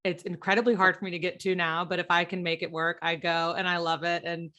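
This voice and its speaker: A woman's voice: fairly low pitch, level tone, fast talker, pushed and bright. A woman, hosting a podcast in a casual, agreeable, chatty way. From southern US. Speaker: podcast host, interviewer. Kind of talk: casual chat.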